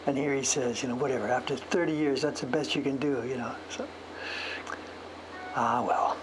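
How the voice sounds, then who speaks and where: A man's voice: medium pitch, fairly even intonation, moderate pace, background noise. A man chatting casually on a livestream while he carves, rambling and self-deprecating, chuckling as he tells a story. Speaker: streamer and woodblock print carver.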